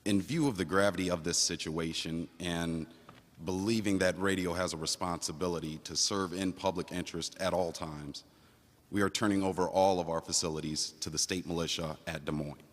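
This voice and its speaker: An actor playing a solemn broadcasting executive making a formal official statement in an old-time radio drama. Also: male voice, low-pitched, steady tone, a little fast, natural voice. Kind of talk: 1930s-style radio drama acting. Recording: long hall reverb.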